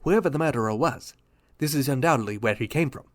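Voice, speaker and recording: A man voicing a calm, analytical male masked detective who lays out clues in a measured way. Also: mid-range voice, lightly acted. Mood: grave.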